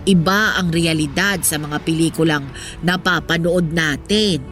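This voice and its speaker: A woman's voice: sits low, unhurried, fairly bright tone. A wistful old Filipino mother. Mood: rueful. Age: older. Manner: reflective, earnest, motherly. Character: old poor mother, wistful, devoted, gently wise wife. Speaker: voice actor in a radio drama. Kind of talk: Filipino radio drama acting. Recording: music behind the voice.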